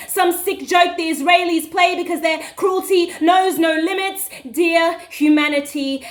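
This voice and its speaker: A woman performing spoken word poetry in an impassioned, accusatory manner with deliberate, dramatic delivery. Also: very high-pitched, fairly bright tone. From Western Australia.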